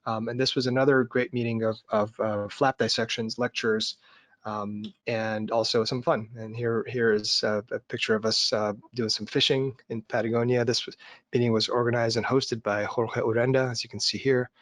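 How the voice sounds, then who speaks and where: A man hosting a webinar over a video call, introducing a guest in a measured way with frequent ums and restarts. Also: medium pitch, little pitch movement, no echo. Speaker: webinar host.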